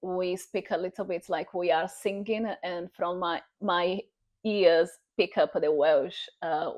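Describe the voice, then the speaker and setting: A woman's voice: lowish voice, steady tone, takes it slowly, a little mellow. A woman from Brazil, speaking English with a Brazilian Portuguese accent and a lot of hesitant fillers in a casual chat. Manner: hesitant, with many fillers and restarts. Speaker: guest.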